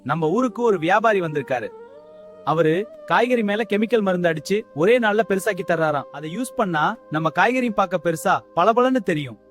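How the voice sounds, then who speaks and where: A man playing earnest, plain-spoken village men in animated story dialogue. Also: high-pitched, fairly quick, faint music behind. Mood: persuasive.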